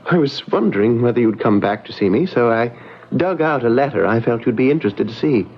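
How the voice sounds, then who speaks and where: An actor playing a troubled, haunted young banker's son in a radio drama. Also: male voice, mid-range voice, lively intonation, a little fast, a little hiss or hum, natural voice. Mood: uneasy, confiding. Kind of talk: 1970s radio drama acting. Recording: dull lo-fi old radio broadcast.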